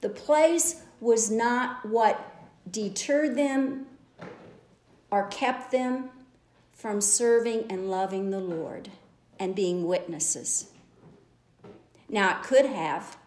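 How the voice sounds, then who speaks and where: A woman's voice: medium pitch, very slow, long pauses, darkish tone, slight background noise. A woman delivering a church message to a women's gathering, earnest and exhorting, building her points with repeated phrases. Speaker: lay preacher. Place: church sanctuary. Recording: echoey church room.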